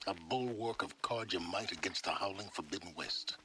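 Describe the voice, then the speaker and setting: An actor voicing a knowing, self-assured male schemer in a video game, speaking in a measured, erudite, faintly theatrical way. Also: deep voice, sharp tone, slight background noise. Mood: grand, knowing. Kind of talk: video game dialogue acting.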